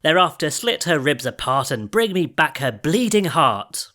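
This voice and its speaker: A man voicing a spoilt, vicious fairy-tale queen who shouts imperiously in a storybook. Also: fairly high pitch, very animated, normal speed, voice pushed up. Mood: furious.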